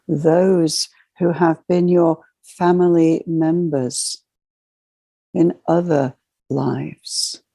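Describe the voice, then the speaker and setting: A woman guides a spiritual meditation in a mellow voice with a gravelly edge. She speaks in solemn, measured phrases, like a formal ceremonial address. The sound is close and dry. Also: on the low side, dry recording, rough recording. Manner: slow, measured, ceremonial delivery in short phrases.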